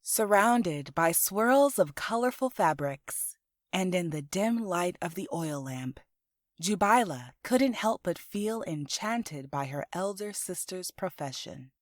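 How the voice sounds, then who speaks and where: A woman reading scripted storybook narration in an expressive, unhurried manner. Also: lowish voice, no room sound, studio quality. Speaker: author and narrator.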